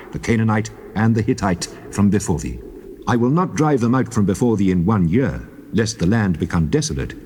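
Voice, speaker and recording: Actor as deep, commanding voice of God. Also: male voice, a little mellow, close to an everyday voice. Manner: solemn, authoritative, declamatory. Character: God of Israel, male, commanding, solemn lawgiver. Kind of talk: dramatized scripture reading in archaic English. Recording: clearly noisy old cassette tape.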